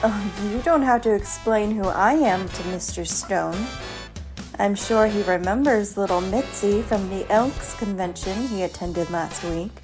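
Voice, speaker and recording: A woman's voice: medium pitch, a little slow, music in the background, lightly acted. A woman playing a breezy, flirtatious dancer in a 1950s-style radio comedy.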